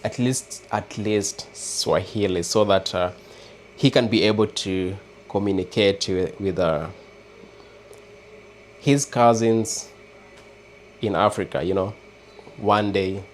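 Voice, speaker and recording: A man with a Kenyan accent chatting casually with viewers on a livestream, hesitant and halting, often restarting words. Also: on the low side, slight background noise. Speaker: livestream host. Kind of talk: casual live chat with viewers.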